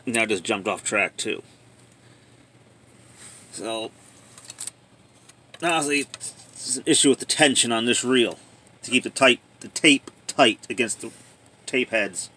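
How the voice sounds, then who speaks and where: A man giving casual, plainspoken commentary on a handheld video, thinking aloud in a halting way as a hobbyist showing a repair. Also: mid-range voice, sharp tone, slightly rough. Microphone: handheld phone or camera.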